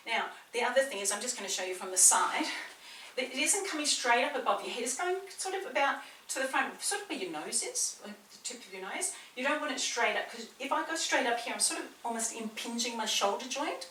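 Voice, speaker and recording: A woman's voice: a little high, expressive, fairly quick, pushed and bright, a little hiss or hum. A woman personal trainer with a slight New Zealand accent, chattily coaching a beginner workout in a small indoor room. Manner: chatty, encouraging, talks a lot. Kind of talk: guided workout instruction. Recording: roomy echo, distant mic, faint ambience.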